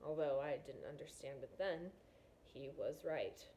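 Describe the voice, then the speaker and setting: A woman reading the part of a reserved middle-aged king and father in a storybook, measured and reflective. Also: extremely low pitch, expressive, dark tone, a little hiss or hum, pitched below the performer's own voice, heavily acted. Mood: wistful.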